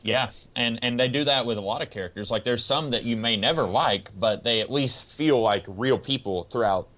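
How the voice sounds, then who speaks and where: A man's voice: medium pitch, normal speed, a bit bright, lo-fi sound. A man co-hosting a podcast, explaining his thoughts in a casual, rambling, tentative way. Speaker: podcast co-host. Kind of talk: casual discussion of books and comics.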